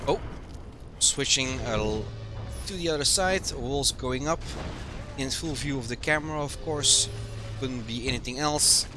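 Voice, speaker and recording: A man with a Dutch accent, a native Dutch speaker, calmly calling live play-by-play for an online game stream while he has a cold. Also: medium pitch, measured pace. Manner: calm running play-by-play. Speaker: esports stream caster. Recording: game music loud behind the voice. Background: loud game music.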